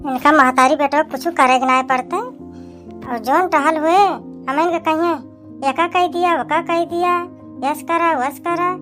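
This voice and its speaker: A woman voicing a nagging, complaining young village wife in an Awadhi cartoon comedy, with a shrill voice and an Awadhi accent. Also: steady tone, unhurried, fairly bright tone, soft background music. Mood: angry scolding. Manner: complaining, scolding.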